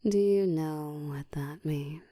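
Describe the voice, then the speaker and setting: Low, slow, seductive vampire woman acting, half-whispered. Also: no room sound, clean studio sound. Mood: seductive, taunting. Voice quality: soft, breathy whispering mixed with low murmur. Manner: slow, teasing, commanding, often dropping into a whisper. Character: seductive, dominant ancient female vampire toying with prey. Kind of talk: intimate ASMR audio roleplay.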